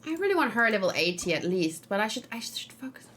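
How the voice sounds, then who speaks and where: A woman doing a casual let's play, excitable and thinking aloud, often repeating her phrases as she goes. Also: mid-range voice, fast talker. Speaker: game streamer, host. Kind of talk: casual let's play chat and live game commentary.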